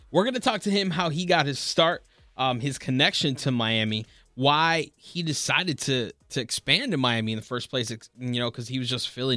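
A man's voice: fairly high pitch, pushed and bright, no room sound. A man hosting a food podcast in a casual, upbeat, promotional way. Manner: upbeat, promotional, sing-song plugs. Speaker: podcast host.

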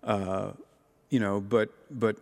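A man who is a law professor talking as a podcast guest, halting with many ums and restarts. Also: fairly low pitch, steady tone, vocal fry, darkish tone, big-room sound. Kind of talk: casual conversation.

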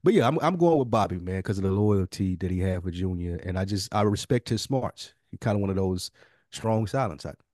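A man's voice: sits low, animated, a little fast, darkish tone, dry recording, clean studio sound. A man co-hosting a podcast in casual, colloquial chat, often repeating phrases for emphasis. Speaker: podcast co-host.

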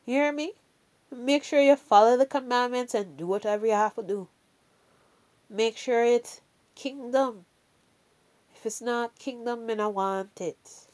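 A woman speaking slowly with a Jamaican accent, in a fairly high voice. She gives an earnest faith pep talk, pressing her points home with repetition. Her tone stays chatty and direct, and she checks in with "all right?". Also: a little mellow. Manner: earnest, emphatic, repeats key phrases; chatty, adds "you know" and "all right". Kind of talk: casual motivational faith talk.

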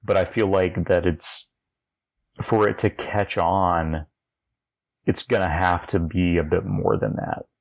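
A man's voice: low voice, fairly even intonation, slow pace, vocal fry. A man co-hosting a podcast, chatting casually and explaining his opinions on gaming news. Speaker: podcast co-host. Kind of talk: casual chat about video game news.

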